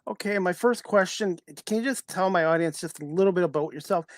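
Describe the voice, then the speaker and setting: A man hosting a casual sports podcast, asking questions in a halting, polite way. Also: high-pitched, dry recording, studio quality. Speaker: podcast interviewer.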